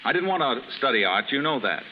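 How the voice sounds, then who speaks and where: An actor playing an aimless young American son, aggrieved and wryly flippant, in a 1930s radio drama. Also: male voice, mid-range voice, big pitch swings, a little fast, bright, forceful tone. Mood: aggrieved, pleading. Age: young adult. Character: aimless young wastrel son, aggrieved, wryly self-mocking, fond of drink. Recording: very noisy 1930s radio recording.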